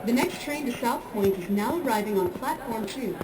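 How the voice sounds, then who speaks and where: Station announcer, female, acted; impersonal, formal, higher pitched, distant from the mic. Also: lively intonation, moderate pace, close to an everyday voice. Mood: neutral, formal. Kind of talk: station public address announcement.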